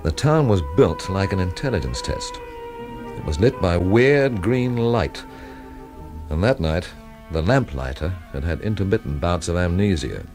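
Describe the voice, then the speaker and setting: An actor playing a sardonic, wisecracking stranger in town, narrating and talking in a dry, wry way in a radio drama. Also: male voice, low voice, animated, measured pace, over music.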